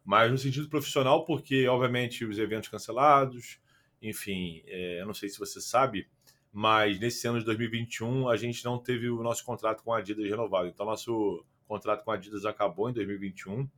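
A young man with a Carioca accent and a fairly low voice, chatting on a podcast over a remote call. He rambles, leans on fillers and restarts his sentences often. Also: steady tone, fairly quick, a bit bright. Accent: Carioca Portuguese. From Rio de Janeiro, Brazil. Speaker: podcast guest, football content creator. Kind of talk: casual podcast conversation.

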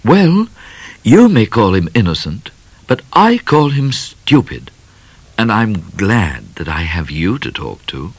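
A man's voice: lowish voice, big pitch swings, slow pace, husky, dry recording, voice pushed up, heavily acted. A man voicing a haughty, prim young lady. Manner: disdainful, prim.

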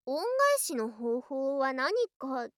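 A high, girlish lamia for an ASMR voice drama, speaking in grand, archaic turns of phrase. The snake spirit is proud yet affectionate and takes her time, in a very dry, close recording. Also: takes it slowly, dry recording. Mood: teasing, bashful. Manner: archaic haughty old-fashioned speech, theatrical. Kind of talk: Japanese ASMR situation voice drama.

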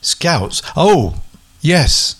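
A man's voice: fairly high pitch, big pitch swings, drawn-out words, a bit bright, slightly rough. A man co-hosting a casual chat, making guesses with dry quips. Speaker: co-host. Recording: noisy background.

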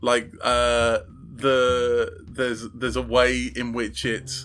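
A man talks as a guest in a relaxed interview. His pitch is average, and his delivery is halting, with repeated words as he explains his creative work. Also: faint music behind. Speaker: audio drama sound designer, podcast guest. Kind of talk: casual interview chat.

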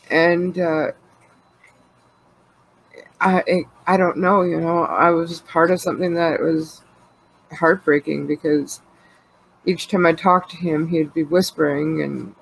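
A Canadian woman talks openly in a loose, rambling way, full of fillers and quick laughs. Her voice sounds stuffed up from a cold, with a gravelly fry running under it. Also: fairly low pitch, poor audio. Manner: rambling and candid, laughs often, many fillers. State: congested with a cold. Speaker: podcast co-host. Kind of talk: casual personal chat.

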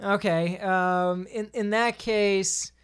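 Casting: female podcast panelist, mid-pitched, opinionated, explains at length in relaxed conversational style. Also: slow pace, clean tone. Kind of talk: casual podcast discussion.

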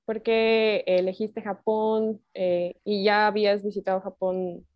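A woman's voice: mid-range voice, level tone, a little slow, muffled recording. A cheerful woman co-hosting a casual interview, asking the guest questions. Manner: cheerful, laughs often. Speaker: podcast co-host.

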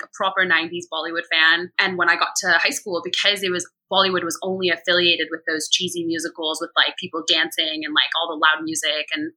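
A young woman with an American accent and a low-set, very bright voice. It has a husky grain to it. She talks candidly and conversationally, telling anecdotes with animated quoted speech. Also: low-quality recording. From United States. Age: young adult. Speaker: podcast guest.

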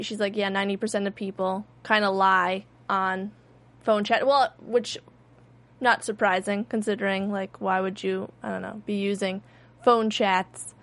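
A woman's voice: mid-range voice, fairly bright tone. Chatty woman podcast co-host. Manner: chatty, trailing filler words. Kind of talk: casual podcast chat.

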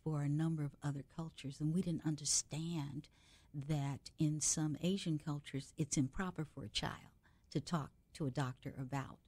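A woman's voice: deep voice, steady tone, normal speed, warm tone, slightly rough, no room sound. A woman from the United States hosting a radio interview, chatty and reflective, adding her own stories between questions. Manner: chatty, reflective, adds personal anecdotes. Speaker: radio show host. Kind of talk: conversational interview.

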